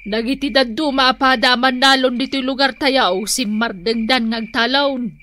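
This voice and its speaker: High, bright, nagging Ilocano wife. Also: female voice, fairly even intonation, moderate pace. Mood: chiding. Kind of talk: Ilocano radio comedy-drama acting.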